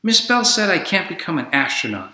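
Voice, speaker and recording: Earnest, pleading young girl for a storybook, bright and animated man reader. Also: fairly high pitch, brisk, pitched above the performer's own voice, heavily acted. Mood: upset. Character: young girl dreaming of space, earnest, easily discouraged. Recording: echoey room.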